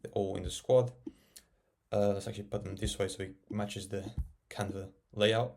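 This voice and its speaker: A man narrating a casual software tutorial, explaining and thinking aloud as he works. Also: fairly low pitch, steady tone, moderate pace, vocal fry, noisy background. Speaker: tech tutorial presenter.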